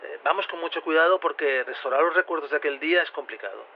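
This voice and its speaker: An actor plays a flustered male researcher being interviewed in a radio drama, over a dull link with faint noise. His voice is fairly high for a man, and he talks carefully, hesitating and repeating words. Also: fast talker, close to an everyday voice. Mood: earnest, flustered. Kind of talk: spontaneous-sounding phone-in interview.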